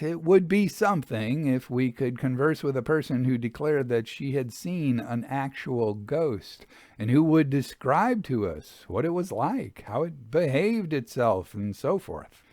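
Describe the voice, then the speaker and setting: A man narrating an old-fashioned literary story in a measured, expressive storytelling manner. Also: a little high, no room sound, clean studio sound. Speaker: audiobook reader. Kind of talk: scripted literary narration of a Victorian travel memoir.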